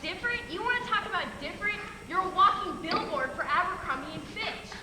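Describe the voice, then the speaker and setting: A woman's voice: very high-pitched, a little fast. A young woman playing a sassy, mocking friend in a stage comedy. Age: young adult. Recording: echoey hall, heavy noise, loud audience.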